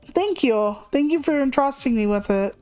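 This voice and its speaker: A woman's voice: sits high, expressive, normal speed, a little mellow, soft background music. A woman livestreamer chatting casually in a candid, rambling, self-deprecating way, swearing freely. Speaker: video game livestreamer.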